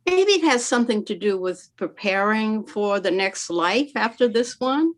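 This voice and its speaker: A woman's voice: medium pitch, lively intonation, normal speed. An older woman from the American South reading her own poem aloud, wry and conversational, with playful asides to the audience. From Southern United States. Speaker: poet reading her work. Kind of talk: spoken word poetry reading.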